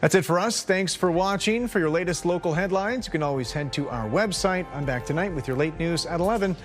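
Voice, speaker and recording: A man with a Canadian accent reading the evening news as anchor in a crisp, measured newscaster delivery. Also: on the high side, music in the background. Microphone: studio mic.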